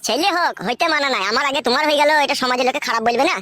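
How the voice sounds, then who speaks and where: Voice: sits high, rapid-fire, sharp tone. A performer voicing a resentful young married son in a comedy cartoon, complaining coarsely. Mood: grumbling. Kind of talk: village comedy cartoon dubbing. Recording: music behind the voice.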